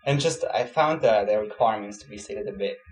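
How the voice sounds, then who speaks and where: A young man from Switzerland, a student answering interview questions in a relaxed, casual way with plenty of fillers. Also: lowish voice, brisk, rough recording. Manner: relaxed, many fillers, laughs easily.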